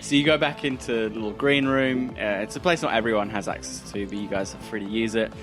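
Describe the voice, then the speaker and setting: A man's voice: lowish voice, quick pace, over music. A man narrating a tabletop roleplaying game as game master, casual, playful and conversational.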